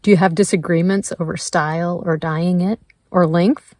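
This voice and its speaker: A woman leading a guided meditation in a gentle, measured way, leaving pauses between her prompts. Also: on the low side, fairly even intonation, no echo, clean studio sound. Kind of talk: slow guided meditation narration.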